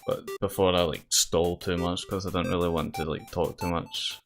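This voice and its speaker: Male let's player, low register, casual and halting delivery, game music clearly behind the voice. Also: steady tone. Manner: hesitant, halting, rambling. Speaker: let's play host. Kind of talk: casual gaming commentary, reading dialogue aloud.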